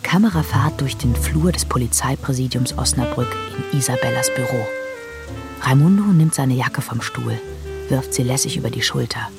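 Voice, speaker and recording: A woman narrating scripted screenplay-style scene directions in a measured, descriptive way. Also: extremely low pitch, creaky. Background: music.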